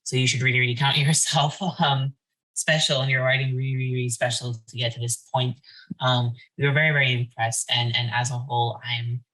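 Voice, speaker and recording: Earnest, informal speaker with a mid-pitched voice. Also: flat delivery, brisk, bright, forceful tone, poor audio. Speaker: poetry editor. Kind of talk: casual spoken remarks of thanks.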